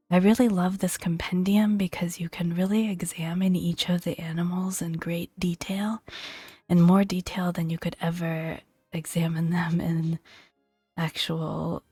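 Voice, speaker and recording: A woman doing soft-spoken ASMR game commentary in a breathy, gentle near-whisper, murmuring along without hurry. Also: fairly low pitch, dry recording. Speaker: video game content creator.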